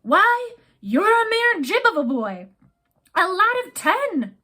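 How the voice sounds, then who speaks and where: A woman voicing a gruff, blustering old male ship captain who roars and bellows his lines. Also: high-pitched, animated, measured pace, bright, forceful tone, exaggerated voice. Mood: furious. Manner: roaring, bellowing.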